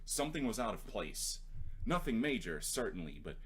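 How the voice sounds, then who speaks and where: A man's voice: lowish voice, big pitch swings, moderate pace, a little hiss or hum. A man in his twenties, an author speaking casually and reading his own writing aloud. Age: 20s. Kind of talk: casual rambling talk, then reading own prose aloud.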